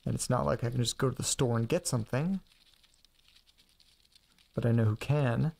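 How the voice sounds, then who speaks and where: Anxious chatty young guy talking to himself, bit of fry in the voice, casual audio drama rambling. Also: mid-range voice, normal speed, darkish tone.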